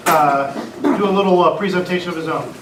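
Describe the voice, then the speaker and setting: A man's voice: sits high, brisk. A man making informal announcements to a group as host of a club meeting. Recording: echoey distant room, loud crowd noise.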